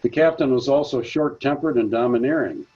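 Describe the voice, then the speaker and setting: A man's voice: medium pitch, darkish tone, slightly rough, lo-fi sound. A man presenting a prepared talk at a senior center, reading steadily from his notes. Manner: measured, reads from notes.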